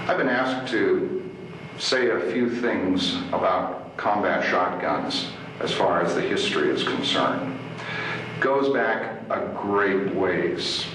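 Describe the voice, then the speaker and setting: A man's voice: on the low side, fairly bright tone. A man giving a formal explanatory history talk as a military museum curator. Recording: very echoey, distant mic, faint noise.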